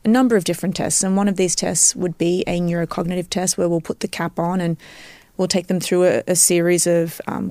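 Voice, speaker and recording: A woman's voice: on the low side, creaky, darkish tone, dry recording. A woman with an Australian accent explaining her field as an expert guest in a podcast interview, teaching step by step and checking that she is followed. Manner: didactic, checks understanding with tag questions.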